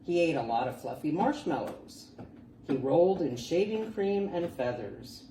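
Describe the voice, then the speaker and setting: A woman reading a children's picture book aloud as a storytime reader, expressive and deliberate. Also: deep voice. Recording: roomy, distant mic, faint background noise.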